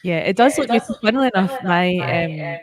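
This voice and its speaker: A woman chats informally with a lot of rise and fall in her slightly low voice, taking her time as she thinks aloud. People can clearly be heard chattering behind her. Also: animated, fairly quick, a loud crowd. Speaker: interview guest. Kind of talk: casual chat.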